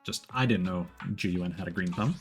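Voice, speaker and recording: A man's voice: deep voice, fairly quick, soft background music. A man giving casual live game-stream commentary, thinking aloud in a hesitant, rambling way. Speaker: streamer, VTuber.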